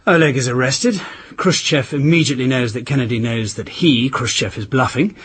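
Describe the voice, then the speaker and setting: An actor playing an urbane 1960s British husband in a radio drama, clipped, understated and dryly ironic. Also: male voice, medium pitch, fairly quick, fairly bright tone, a little hiss or hum, close to an everyday voice. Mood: wry, grave. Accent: British English.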